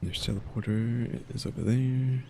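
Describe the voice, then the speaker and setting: A man's voice: mid-range voice, moderate pace, vocal fry, background noise. A man giving casual video game commentary, muttering and thinking aloud as he plays. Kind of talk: casual gameplay commentary, reading quest text.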